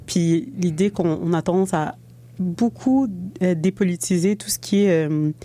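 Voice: medium pitch, unhurried, warm tone. An interviewer asking thoughtful, probing questions in a conversation.

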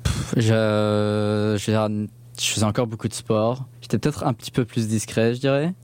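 A man's voice: fairly low pitch, level tone, moderate pace, darkish tone, smooth, no echo. A young man from Belgium, born in northern England, chatting casually as an interview guest in informal, slangy speech mixed with English words. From born in northern England, grew up in Belgium. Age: young adult.

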